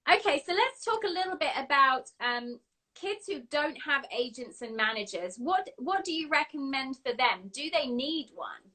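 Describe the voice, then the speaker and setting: Give me an effusive woman hosting a showbiz interview, with a bright, high voice. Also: very animated, normal speed. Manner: effusive, gushing praise. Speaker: showbiz show host.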